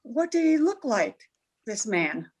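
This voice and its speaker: A woman's voice: a little high, very animated, slow pace, darkish tone, husky, exaggerated voice. A woman playing a proud, anxious young wife in trouble, haughty and melodramatic, in 1940s radio drama style. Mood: tense, wary.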